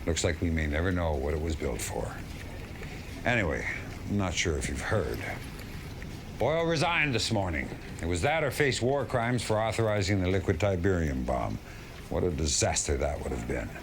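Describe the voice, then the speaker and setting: Stern, very low-voiced general. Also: male voice, animated, normal speed, background noise. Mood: approving, relieved. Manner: stern, plain-spoken. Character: gruff, blunt military general addressing his commander. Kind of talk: dramatic game cutscene acting.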